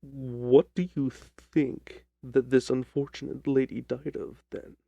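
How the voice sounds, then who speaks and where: Guy doing a keen detective, low mellow voice, clipped bossy questions, audiobook drama. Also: flat delivery, slow, vocal fry, dry recording, lo-fi sound. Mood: probing, measured. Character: keen, probing male detective questioning a client.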